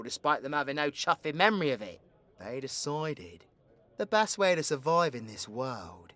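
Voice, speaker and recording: The foul-mouthed British supervillain at the end of the pub bar in an audio drama, acted by a man, teasing crudely, higher-pitched and swinging all over. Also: bright, forceful tone. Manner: crude, bantering, slangy. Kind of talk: ASMR audio roleplay acting.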